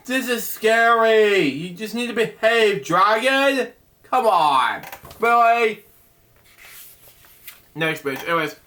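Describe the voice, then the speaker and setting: Male storyteller, slow and halting, bright tone, rambling asides. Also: high voice, very animated. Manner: halting, stumbling over words, chatty asides to the listener. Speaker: storyteller reading a picture book. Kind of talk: reading a bedtime story aloud with rambling asides.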